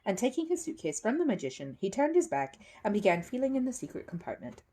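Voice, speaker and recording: A woman reading a children's book aloud as an expressive storyteller. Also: medium pitch, fast.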